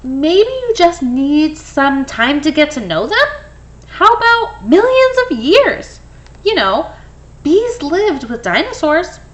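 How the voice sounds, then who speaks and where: Enthusiastic picture-book best friend played by a woman, high, persuasive, very lively pitch. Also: slow, full character voice. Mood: coaxing. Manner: enthusiastic, coaxing. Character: eager, persuasive bee-loving best buddy in a picture book.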